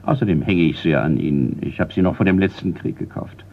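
Radio crime drama: older gentleman colonel, courteous, hesitant, creaky voice, muffled noisy recording. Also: low-pitched, brisk. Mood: businesslike, courteous. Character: retired colonel, older male art collector, courteous Scottish host. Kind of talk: German radio crime play acting.

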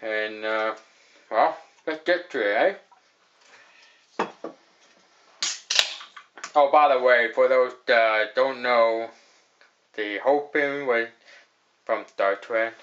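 A man's voice: mid-range voice, extremely slow, long pauses, fairly bright tone, muffled recording. Rambling man reviewing beer. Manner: rambling, repeats "actually", lots of fillers. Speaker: host of a beer review show. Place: small room indoors at a table.

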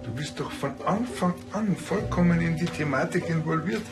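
A man's voice: fairly high pitch, expressive, normal speed, over music, close to an everyday voice. An actor playing a cheeky, scheming village policeman with a Bavarian accent and a dry, offhand delivery.

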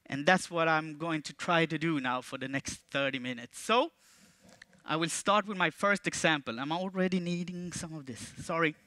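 A man in his thirties with a Swedish accent, giving a jokey, animated conference talk on a stage in a large auditorium. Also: normal speed, dry recording. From Sweden. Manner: playful, jokey, with animated jumps in pitch and volume. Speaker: conference speaker. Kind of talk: stage presentation.

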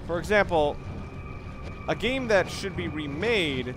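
Higher-pitched man rambling over video gameplay. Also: lively intonation. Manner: rambling, halting, repeats and rephrases himself. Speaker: gaming video host, player. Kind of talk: casual let's-play commentary. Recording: clear background noise under voice.

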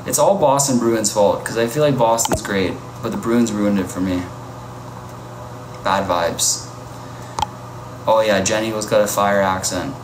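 A man's voice: lowish voice, a little slow, long pauses. Chatty man on a noisy echoey livestream. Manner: chatty, reacting to viewer comments. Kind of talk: casual live-stream chat. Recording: echoey with loud ambient noise.

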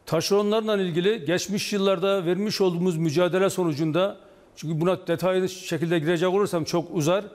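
Union official, male, sixties, high-pitched, measured stop-start delivery, echoing hall-like sound. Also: darkish tone. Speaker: trade union official, interview guest. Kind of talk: live TV news interview, explaining. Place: indoor office or studio, video link to TV broadcast. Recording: long reverberant hall echo.

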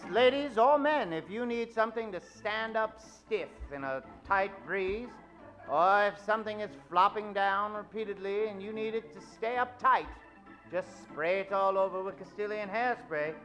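A man hosts as an old-time radio announcer. His voice is high for a man and theatrical, reading scripted narration. Faint music is heard with it. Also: normal speed, a bit bright, poor audio. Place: live theater stage. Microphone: vintage-style microphone at podium.

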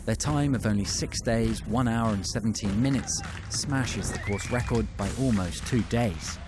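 A man giving scripted, measured documentary narration as a studio voice-over. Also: on the low side, background noise. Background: music.

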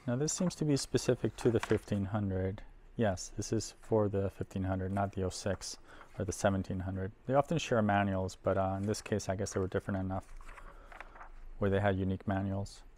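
A middle-aged man giving casual, unhurried unboxing commentary, thinking aloud as he handles things in a workshop. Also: low-pitched, fairly even intonation, mellow, slight background noise. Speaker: host of an audio gear unboxing video. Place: indoor workshop at a workbench.

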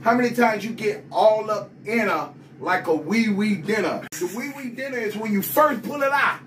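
A middle-aged man bragging and riffing in loud, profane banter inside a small vending room, filmed on a phone. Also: sits high, expressive, moderate pace, far from the mic, slight background noise. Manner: loud, brash, profane hype talk with laughter. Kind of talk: boastful rambling banter.

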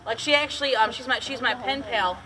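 A woman's voice: fairly high pitch, brisk, a crowd in the background. A talkative woman from Detroit who later moved to Tennessee, guiding a tour and chatting casually with jokes and stories. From Detroit, Michigan; raised partly in Tennessee. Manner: joking, giggly, talkative. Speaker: cave boat tour guide. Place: boat on an underground lake in a cave. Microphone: passenger's camera at some distance. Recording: distant mic, people chattering around.

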